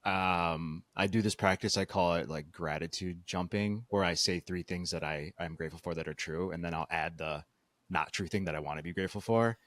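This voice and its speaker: Male podcast co-host, low pitch, dry recording, easygoing and agreeable. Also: a little fast, a bit bright, no echo. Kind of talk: casual chat.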